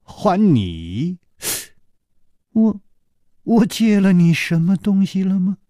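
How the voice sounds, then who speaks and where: A man playing a suave, teasing roguish hero, unhurried. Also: high voice, sing-song, warm tone, dry recording, studio quality, voice pushed up, heavily acted. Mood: mock puzzled. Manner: unhurried, playful, mocking. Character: suave, teasing roguish martial-arts hero, master thief.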